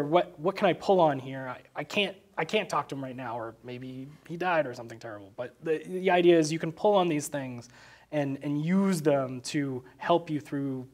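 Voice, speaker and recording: Higher-voiced man chatting, halting and repetitive. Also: darkish tone. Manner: halting, repeats words while working out thoughts. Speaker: podcast co-host discussing a film. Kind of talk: casual chat, informal film analysis.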